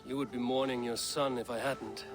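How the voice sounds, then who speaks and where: A man's voice: mid-range voice, flat delivery, moderate pace, over music, close to an everyday voice. A man playing a steadfast, self-assured Viking warrior in a video game, speaking directly and with control. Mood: firm. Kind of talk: dramatic video game acting.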